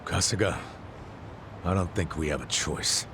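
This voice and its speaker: Gravelly, very deep middle-aged sidekick. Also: male voice, unhurried, background noise. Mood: wary. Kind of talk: English video game dub acting.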